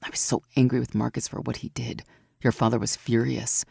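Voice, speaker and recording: A woman voicing a strong, protective warrior woman who speaks low and soft in a storybook. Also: level tone, fairly quick, vocal fry, slightly rough, no echo, clean studio sound, exaggerated voice. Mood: reassuring.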